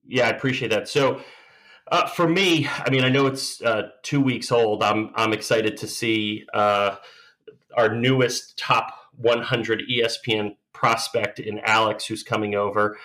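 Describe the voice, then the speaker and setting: A man's voice: fairly low pitch, steady tone, measured pace, a bit bright. A man in his early thirties, an upbeat panelist chatting casually as a sports fan. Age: 30s. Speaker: podcast panelist.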